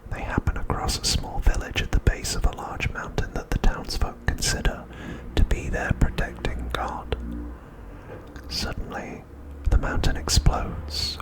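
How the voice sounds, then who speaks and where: A man narrating a script as ASMR in a breathy, unvoiced whisper, softly and deliberately, pausing often between phrases. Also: low-quality recording. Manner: soft, deliberate, with frequent pauses between phrases.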